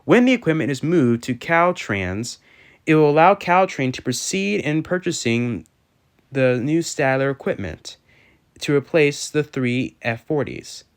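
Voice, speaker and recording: A man's voice: fairly high pitch, lively intonation, unhurried, smooth. A man narrating an informational video from a script in a formal, careful way, occasionally stumbling over a word. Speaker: railfan video host.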